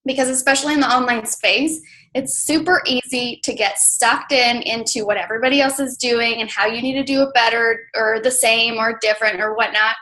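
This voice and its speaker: A woman's voice: on the high side, fairly even intonation, a little fast, sharp tone, rough recording. A woman from Mexico, a native Spanish speaker, talking casually as an interview guest and filling her speech with "like" and "you know". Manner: chatty, many fillers like "like" and "you know". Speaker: interview guest, coach mentor.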